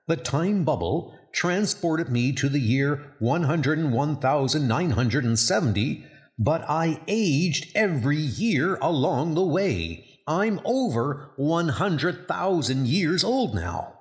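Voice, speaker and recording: A man's voice: a little high, sing-song, slow pace, heavily acted. A man voicing a noble, earnest superhero who thinks aloud in exclamations, in a comic book dub. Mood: dismayed.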